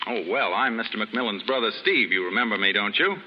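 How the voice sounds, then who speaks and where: A man's voice: on the low side, very animated, fast talker. An actor playing an easygoing, teasing wayward man of a rich family, loose and genial, in 1930s radio style. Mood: amused. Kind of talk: 1930s radio drama acting. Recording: muffled, noisy 1930s radio broadcast.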